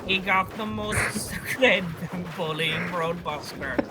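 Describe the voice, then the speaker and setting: A man's voice: high-pitched, slow, loud music behind the voice. A man reading comedic character profiles in a fan dramatic reading. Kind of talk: comedic character-bio narration. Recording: very noisy amateur recording with clicks.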